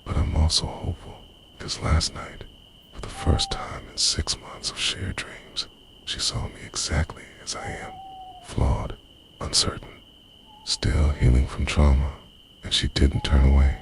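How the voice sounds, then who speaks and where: A man with an extremely low voice delivers intimate ASMR storytelling, hovering between soft speech and breathy whisper. His pitch moves widely as he confides each line in a dramatic, hushed manner. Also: huge pitch swings, a little slow. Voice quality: breathy, often slipping into whisper. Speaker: audio roleplay narrator. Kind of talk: intimate scripted ASMR roleplay narration, half-whispered.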